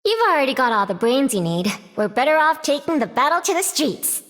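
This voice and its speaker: A woman's voice: sits high, very animated, a little fast, fairly bright tone, no room sound, studio quality. An actress voicing a spirited, self-assured young girl who pilots a suit in a video game, speaking casually. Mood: confident, upbeat.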